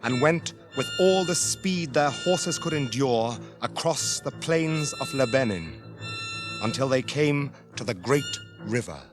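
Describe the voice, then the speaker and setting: A man narrating a 1980s radio drama as a scripted story, measured in delivery. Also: medium pitch, expressive. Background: dramatic music.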